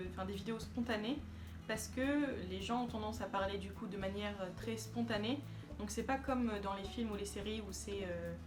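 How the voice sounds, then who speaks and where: A woman vlogger talking casually to camera, chatty and hesitant with many fillers. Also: medium pitch.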